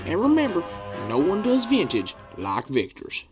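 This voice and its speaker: A woman delivers a scripted radio advertisement as announcer. Her intonation is lively, and music plays clearly behind her. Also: lowish voice, normal speed.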